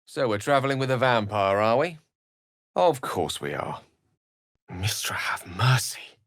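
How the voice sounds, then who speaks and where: A man's voice: medium pitch, sing-song, unhurried, fairly bright tone, dry recording. A man voicing an eloquent, self-assured wizard in a fantasy role-playing game, speaking articulately in ornate, theatrical phrases with dry wit. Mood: dry, pointed. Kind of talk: theatrical game dialogue in short separate lines.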